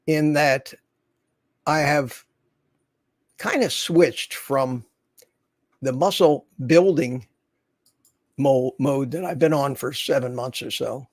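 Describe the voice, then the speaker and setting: A man in his seventies talks casually to his livestream viewers in a rambling, folksy manner. His pitch sits in the middle range, and the recording is dry and close. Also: creaky, dry recording. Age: 70s. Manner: rambling, folksy, reads viewer comments aloud. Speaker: livestream host. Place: his home in Florida.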